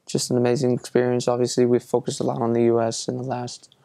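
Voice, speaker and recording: A young man from Iceland talks through interview answers in a relaxed, hesitant way, with plenty of fillers and restarts. His voice is gravelly and dark, and his pitch stays flat and even. The sound is dry and close. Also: fast, dry recording. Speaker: musician being interviewed.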